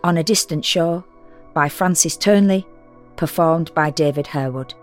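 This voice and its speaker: Female announcer, very low pitch, scripted title reads over faint music. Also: normal speed.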